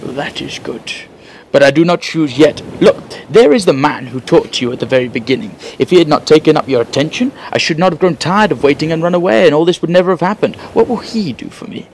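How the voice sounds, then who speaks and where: A man voicing a proud, stubborn crab who bargains slowly and turns gleeful. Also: a little high, lively intonation, slight background noise, pitched above the performer's own voice. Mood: complaining. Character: proud giant crab, stubborn, later tiny.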